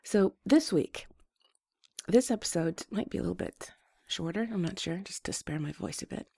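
A woman with a rough voice, hoarse from a flu, hosting a gentle and reassuring advice talk to her listeners. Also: lowish voice, moderate pace, dry recording, clean studio sound. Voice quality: rough, slightly congested voice. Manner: gentle, reassuring, restarts phrases. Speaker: advice show host.

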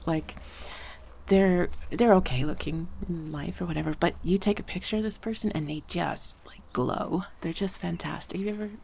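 A woman voice performer chatting gently in an ASMR roleplay, slipping between soft speech and whispers, a little congested from a cold. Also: on the low side, moderate pace, gravelly, a little hiss or hum.

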